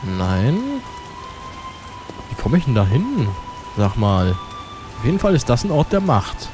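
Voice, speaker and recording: A man's voice: mid-range voice, big pitch swings, measured pace. Rambling let's play guy with a cold. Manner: rambling, muttering to himself. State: has a cold. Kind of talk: casual gameplay commentary. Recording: over loud game music.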